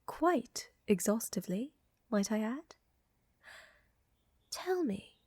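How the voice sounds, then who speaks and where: Casting: woman to play flirtatious vampire countess, genteel and tender, higher-pitched breathy voice, soft whispery intimate ASMR roleplay delivery. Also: no echo, heavily acted.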